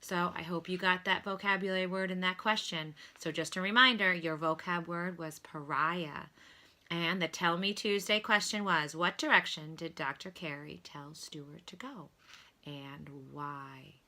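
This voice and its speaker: A woman reading a children's story aloud in an expressive narrating style, like a teacher to pupils. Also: lowish voice, a little slow.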